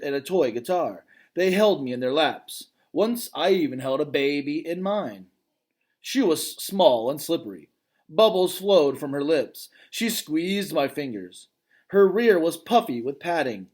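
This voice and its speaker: A man reading a children's novel aloud as its gentle, thoughtful male gorilla narrator, in a calm, reflective way. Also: a little high.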